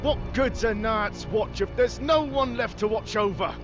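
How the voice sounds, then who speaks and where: A man playing a weary, defiant outlaw in a video game, speaking in a folksy, rustic way for the common people. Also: sits high. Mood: earnest, defiant. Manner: slow, folksy, rustic speech. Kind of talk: video game cutscene acting. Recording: muffled audio under music.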